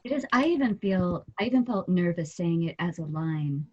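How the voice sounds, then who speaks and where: A woman's voice: fairly low pitch, expressive, moderate pace, lo-fi sound. A woman chatting casually as a guest actor on a live podcast. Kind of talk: casual group chat.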